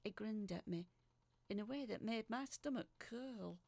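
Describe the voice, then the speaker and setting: A woman playing a feisty woman recounting a strange ordeal in expressive, sharp-tongued audio drama narration. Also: medium pitch, a little slow, creaky, no room sound. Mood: wry. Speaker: narrator reading a letter aloud. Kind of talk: dramatic first-person storytelling in audio fiction.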